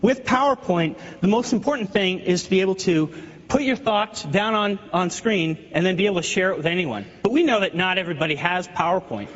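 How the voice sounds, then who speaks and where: A man giving a live software demo on a keynote stage, eager and restarting words as he goes. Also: high voice, expressive, fairly quick. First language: not French. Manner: eager, repeats words while demoing. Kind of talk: live product demo presentation. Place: large conference hall. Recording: lo-fi hall recording with crowd.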